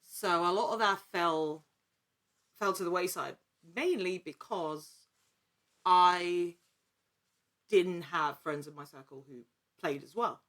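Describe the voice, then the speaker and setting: Woman, slightly low voice, podcast guest, casual conversation, animated and chatty storyteller. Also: slow pace, pauses often. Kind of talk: casual interview chat.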